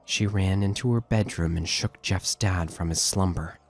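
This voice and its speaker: Low raspy guy narrating a creepy horror story, takes it slow, music underneath.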